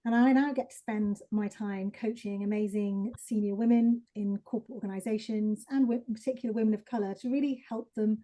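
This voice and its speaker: A woman's voice: mid-range voice, steady tone, brisk, few pauses, warm tone, rough recording. A woman executive coach introducing herself fluently and upbeat on a video-call panel.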